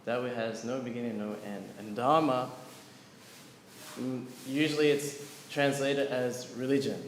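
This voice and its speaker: A man gives a scripture class, explaining patiently and turning to his listeners with questions. His voice sits at an ordinary middle pitch. It rings through a large, echoey hall with a long reverb tail and faint room ambience behind it. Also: fairly even intonation, measured pace, slight background noise. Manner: earnest teaching, asks audience questions. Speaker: lecturer on scripture. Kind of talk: devotional class with chanting.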